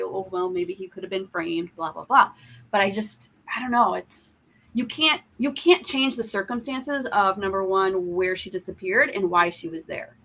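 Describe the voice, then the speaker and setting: Bright, gravelly-voiced woman in casual chat. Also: mid-range voice, poor audio. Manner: talkative, thinks aloud with fillers. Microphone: video call.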